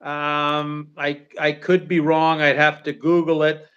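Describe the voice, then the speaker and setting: A man's voice: a little high, little pitch movement, slow pace, fairly bright tone, clean tone, lo-fi sound. Rambling older Canadian man. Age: 60s. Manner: rambling, many fillers and restarts. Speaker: livestream co-host. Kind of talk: casual chat.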